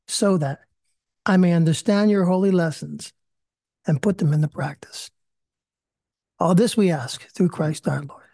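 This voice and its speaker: A man's voice: sits high, darkish tone, no room sound, clean studio sound. A man hosting a live-streamed prayer series, leading a prayer and then chatting in a friendly, rambling, self-deprecating way. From US Northeast. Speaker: host. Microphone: video call.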